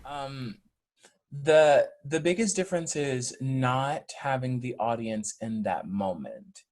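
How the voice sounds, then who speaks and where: A male stage actor talking reflectively in an interview, with thoughtful, deliberate phrasing. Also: mid-range voice, expressive, darkish tone.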